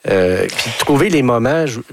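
A man, a psychologist guest who gives measured, hesitant advice and thinks aloud with many fillers. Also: mid-range voice, expressive, gravelly, a little hiss or hum. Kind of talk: expert advice on a talk show.

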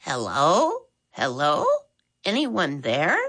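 A woman voicing a loud, squeaky, hard-of-hearing elderly woman who talks slowly and fussily in a storybook reading. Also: huge pitch swings, slightly rough, full character voice. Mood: fussy, doting. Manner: slow, loud, fussy, doting. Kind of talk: storybook read-aloud.

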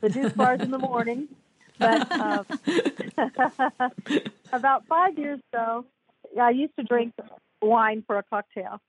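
A woman's voice: fairly high pitch, slow, pauses often, slightly rough, no room sound. An older woman, a guest author, chatting on a podcast with enthusiasm and frequent laughter. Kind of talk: casual podcast chat. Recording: tinny phone-like line, dry.

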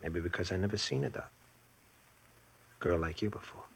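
A man's voice: low-pitched, animated, a little fast, vocal fry, very noisy. A slick young con man. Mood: tender. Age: young adult. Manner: breezy, slangy, evasive. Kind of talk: 1940s radio acting.

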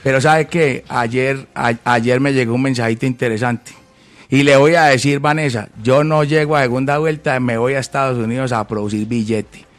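A man's voice: medium pitch, fairly even intonation, moderate pace. A man from Colombia, speaking as a presidential candidate in a heated debate, blunt and insistent. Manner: blunt, insistent, interrupts often.

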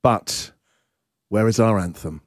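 A man hosting a breakfast radio show, talking in an excitable, emphatic, rambling way with lively banter. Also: on the low side, slow, no echo, studio quality, soft background music. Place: radio studio.

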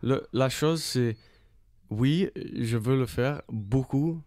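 Slow, smooth low-voiced man, halting French. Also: a little mellow, dry recording. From Australia. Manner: halting French, searching for words. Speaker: interview guest, rapper. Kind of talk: casual chat.

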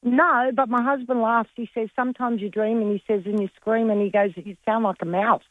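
A woman's voice: a little high, steady tone, a little fast, dry recording. A woman calling in to a radio show, answering plainly. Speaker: caller. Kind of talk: phone-in call. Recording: dull phone line.

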